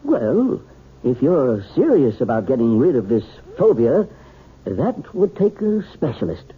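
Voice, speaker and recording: A folksy, husky family doctor. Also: male voice, mid-range voice, wildly swooping pitch, measured pace, heavily acted. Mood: reassuring. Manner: folksy, reassuring. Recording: tinny phone-like old radio recording.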